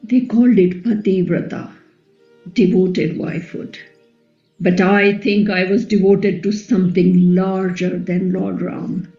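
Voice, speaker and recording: Female actor as a serene, quietly defiant epic queen; very soft-toned, slow, echoey. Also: lowish voice, flat delivery. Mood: reflective. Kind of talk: dramatic play reading. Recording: echoey, slightly dull, music underneath.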